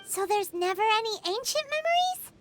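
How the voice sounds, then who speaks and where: A woman voicing a small, childlike, chirpy and blunt fairy companion in an anime-style video game, with a squeaky voice. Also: big pitch swings, normal speed, background noise. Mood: curious. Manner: speaks of herself in third person, exclamatory.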